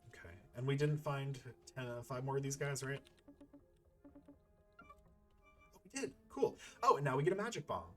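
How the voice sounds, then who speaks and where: A man's voice: medium pitch, animated, moderate pace, long pauses. Casual male gamer thinking aloud over music. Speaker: video game playthrough host. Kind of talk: casual gameplay commentary.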